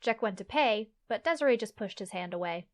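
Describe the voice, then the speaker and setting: A woman's voice: mid-range voice, animated, fairly quick. A woman reading scripted fantasy story narration as the narrator of an audio play.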